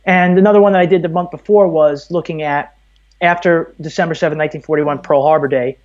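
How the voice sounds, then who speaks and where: A man's voice: on the high side, steady tone, fast, a little mellow. An American man in his thirties from Brooklyn, talking at length and explaining things as a guest in a casual interview. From Brooklyn, New York, USA. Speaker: podcast guest, audio producer. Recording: slightly dull, muffled audio.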